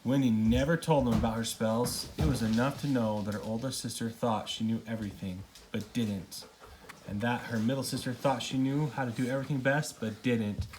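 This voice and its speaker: Man reading a bedtime story, noisy recording. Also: mid-range voice. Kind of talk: storybook read-aloud narration.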